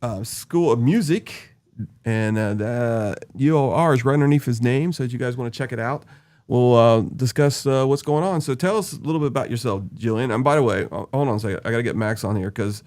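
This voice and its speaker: A man's voice: mid-range voice, fairly quick, a little mellow. A man running a live podcast stream in easy, casual fashion. He jokes around and drifts off into rambling asides while he sets up the show. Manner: loose and joking, rambling asides. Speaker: podcast host.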